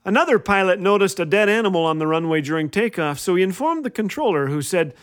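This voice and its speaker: High-pitched man telling wry, playful stories. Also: lively intonation, quick pace, a bit bright, clean tone. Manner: wry, playful, acts out quoted lines. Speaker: host of a humorous inspirational radio show. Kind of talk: scripted comedic storytelling monologue.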